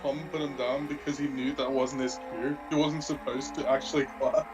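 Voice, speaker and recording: A man's voice: mid-range voice, level tone, moderate pace, music in the background, low-quality recording. A man giving casual film commentary as one of a group of co-hosts. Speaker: co-host of a film commentary show.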